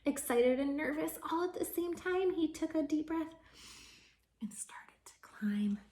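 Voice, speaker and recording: A woman teacher reading a rhyming children's storybook aloud with expressive narration. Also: high-pitched, unhurried. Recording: echoey, roomy sound.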